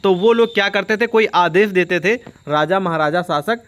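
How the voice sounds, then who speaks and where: A man's voice: high-pitched, moderate pace, a bit bright. A man teaching an informal exam-prep lesson, explaining by repeating and rephrasing, with frequent check-in tags. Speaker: online history teacher.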